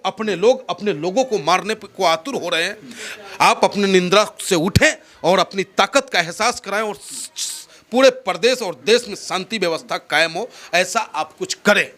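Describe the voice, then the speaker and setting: North indian guy giving a fiery political speech in an interview, high sharp voice, very emphatic. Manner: oratorical, rhetorical, emphatic, with repeated phrases.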